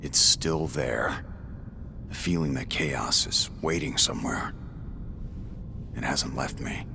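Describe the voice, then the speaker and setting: An actor playing a grim, brooding warrior in a video game, with a deep gravelly voice and terse delivery. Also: male voice, slow, background noise, full character voice. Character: grim, brooding warrior obsessed with destroying chaos. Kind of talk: dramatic video game acting. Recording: loud music under the voice. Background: loud game music.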